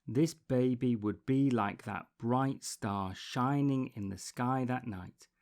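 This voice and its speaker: Man, slow pace, medium pitch, expressive storybook narration, deliberate pauses, dramatic emphasis. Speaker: storybook reader.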